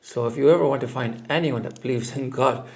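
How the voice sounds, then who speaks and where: A man's voice: mid-range voice, fast talker, gravelly. An Irish man, a guest, talking earnestly and emphatically in a casual chat. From Ireland. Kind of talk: casual conversation.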